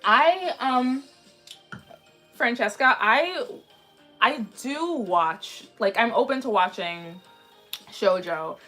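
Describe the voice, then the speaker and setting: A woman in her twenties chatting casually on a livestream in a rambling way, slightly raspy from a sore throat. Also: on the high side, animated, slow pace, long pauses, a bit bright. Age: 20s. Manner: chatty, rambling, frequently restarting sentences. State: mildly sick with a sore throat. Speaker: anime livestream host. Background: background music.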